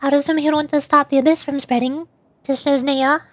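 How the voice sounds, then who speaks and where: A woman with a high voice chats casually as she streams a game. She is playful and reactive, and the sound is dry and close. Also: level tone, a little fast, no room sound. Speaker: streamer, VTuber.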